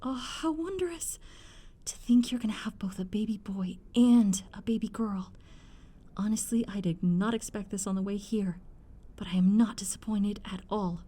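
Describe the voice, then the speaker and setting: Casting: actress for fussy, commanding grandmother-to-be in audio drama; warm dark tone, medium pitch, affectionate but in charge. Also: moderate pace, lightly acted. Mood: delighted. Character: doting, bossy mother, excited grandmother-to-be. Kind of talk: ASMR audio drama acting.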